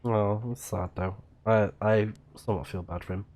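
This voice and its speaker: A man with a fairly low voice plays through a game on stream. He talks casually, muttering reactions and stumbling a little as he reads text aloud. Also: fairly even intonation, darkish tone. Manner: muttering reactions, halting when reading aloud. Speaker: video game livestreamer. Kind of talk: casual let's play commentary, reading on-screen text aloud.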